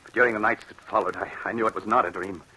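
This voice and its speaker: A man's voice: on the low side, fairly even intonation, quick pace, sharp tone, breathy edge, full character voice, rough recording. An actor playing a haunted, fearful man in an old radio drama, melodramatic and intense, stammering under strain. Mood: grim, haunted. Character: haunted, fearful man tormented by a ghostly cat-woman. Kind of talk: 1940s radio drama acting. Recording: hissy old radio broadcast.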